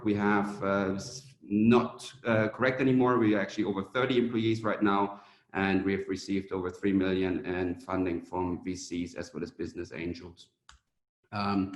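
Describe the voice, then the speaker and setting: A young German man giving a business conference presentation as a startup founder, pausing often with hesitant fillers. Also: on the low side, echoey room.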